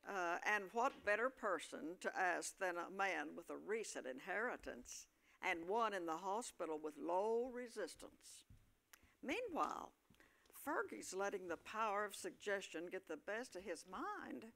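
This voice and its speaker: A woman's voice: medium pitch, lively intonation, unhurried, creaky, fairly bright tone. A woman reading scripted comic narration for a staged play, in a mid-range voice.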